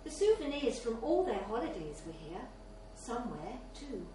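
A woman's voice: a little high, big pitch swings, moderate pace, warm tone. A woman reading a literary short story aloud as scripted narration at a live event in a bar. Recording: very echoey, distant mic, faint noise.

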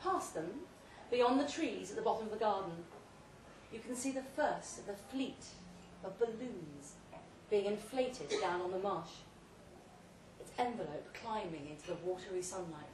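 A woman reads a short story aloud at a live event, delivering it theatrically in measured, deliberate phrases. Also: medium pitch, expressive, a little mellow. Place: pub event room, read aloud live. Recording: roomy, distant live recording with faint noise.

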